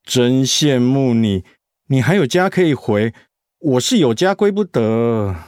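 An actor playing a troubled, torn middle-aged pharmacist in a radio drama. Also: male voice, medium pitch, animated, unhurried, a little mellow, no room sound, studio quality, lightly acted. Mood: torn, aggrieved.

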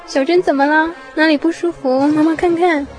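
A woman playing a caring, easily worried young mother of small children in a radio drama, gentle and motherly. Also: sits high, steady tone, normal speed, close to an everyday voice. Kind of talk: radio drama acting with narration. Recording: music behind the voice.

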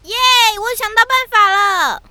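A woman's voice: very high-pitched, lively intonation, measured pace, pushed and bright, exaggerated voice. A woman voicing a shy, earnest little puppy boy who delivers mail in a children's audio drama, childlike, eager and sincere. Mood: excited.

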